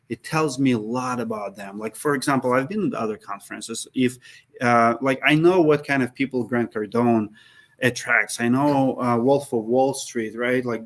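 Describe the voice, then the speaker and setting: A man with an accent hosts a live question-and-answer session with viewers, speaking at a middle pitch. He talks casually and drops articles as he goes, often restarting sentences and filling pauses with "uh" and "um.". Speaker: host. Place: Minneapolis.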